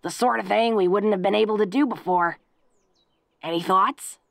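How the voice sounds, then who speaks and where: A woman playing a tough but bashful, devoted orc girlfriend in an intimate audio roleplay, talking in an awkward, halting, self-deprecating way. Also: mid-range voice, creaky, no echo, natural voice. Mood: shy, playful.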